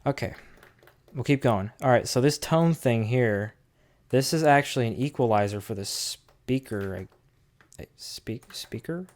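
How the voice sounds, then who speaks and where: A man's voice: mid-range voice, measured pace, a little mellow. A man giving a casual, unscripted hands-on product review, thinking aloud as he goes in an informal way.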